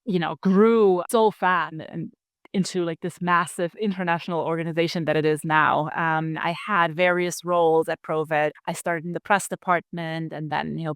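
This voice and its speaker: A woman's voice: fairly low pitch, clean tone, no room sound, clean studio sound. A woman in her thirties with a German accent, a guest talking casually in an interview, full of "like" and "you know". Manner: chatty, many fillers like "like" and "you know".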